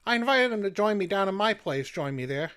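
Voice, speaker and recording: A man's voice: high-pitched, expressive, a little fast, fairly bright tone, clear, resonant tone. An actor playing an anxious, simple-hearted handyman, folksy and repeating his phrase endings in radio drama style. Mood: pleading. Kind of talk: radio drama character acting.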